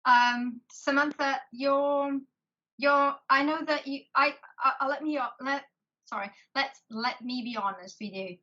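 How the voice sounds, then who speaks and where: A Portuguese woman with an accent, hosting a video call interview in English, talking haltingly with many restarts. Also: sits high, pushed and bright. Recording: lo-fi call audio.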